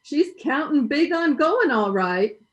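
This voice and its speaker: Muffled, higher-voiced gossipy housekeeper played by a woman. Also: very animated, takes it slowly, exaggerated voice. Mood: knowing. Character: plain-spoken, gossipy female housekeeper with relish. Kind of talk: old-time radio comedy acting. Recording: dull, muffled video-call audio.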